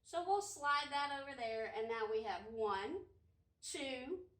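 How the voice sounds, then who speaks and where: Animated, high-voiced woman leading children's storytime. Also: slow. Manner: animated, encouraging, sing-song questions to young children. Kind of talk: interactive preschool storytime talk. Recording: roomy echo, distant microphone.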